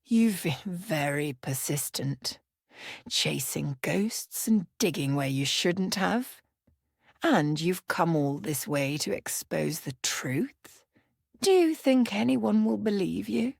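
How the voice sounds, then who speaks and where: Audio drama villainess, played by a woman: cold, condescending, unhurried delivery with swooping pitch and dry close sound. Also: animated, vocal fry, dry recording, full character voice. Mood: cold menace. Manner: condescending, deliberate. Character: cold, menacing female villain, shadowy cartel leader. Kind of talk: audio drama thriller dialogue.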